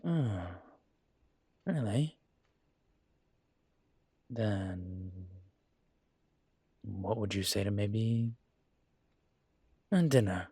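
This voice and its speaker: A man playing a suave, courteous dragon mafia boss in an intimate roleplay audio, with a husky, breathy voice and a gentle, teasing formality. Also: lowish voice, animated, extremely slow, pauses often, no room sound, exaggerated voice. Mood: tender, flirtatious.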